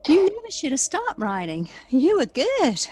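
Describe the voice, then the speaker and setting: Audio play: actress as anxious, emotional sister, high and very animated, noisy background. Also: female voice, measured pace, exaggerated voice. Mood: tender, hurt. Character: anxious, needy, jealous sister Abby, emotional.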